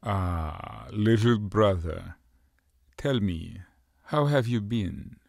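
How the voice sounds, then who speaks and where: An actor playing a smug, sneering older-brother warlord in a video game, mocking and drawling. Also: male voice, on the low side, lively intonation, extremely slow, vocal fry, a little mellow, no echo, studio quality, exaggerated voice. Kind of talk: video game villain acting.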